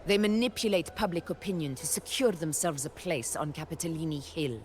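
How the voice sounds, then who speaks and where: A woman voicing a proud, resolute warrior ruler in a video game, speaking in a formal, measured, commanding way. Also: sits low, noisy background, natural voice. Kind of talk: dramatic game dialogue. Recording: music behind the voice. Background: background music.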